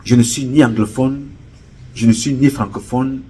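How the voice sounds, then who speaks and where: A man's voice: medium pitch, fairly even intonation, normal speed, dark tone, slight background noise. A middle-aged man from Cameroon with a Cameroonian French accent, giving an impassioned political address with emphatic, repetitive oratorical cadence. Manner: emphatic, rising to urgent appeals. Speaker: political commentator.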